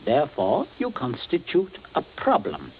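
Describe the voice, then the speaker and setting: A man's voice: a little high, huge pitch swings, a little slow. An actor playing a cold, commanding middle-aged father in a 1940s radio drama, speaking in a clipped, menacing, authoritative way. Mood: cool, calculating. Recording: scratchy, tinny 1940s radio broadcast.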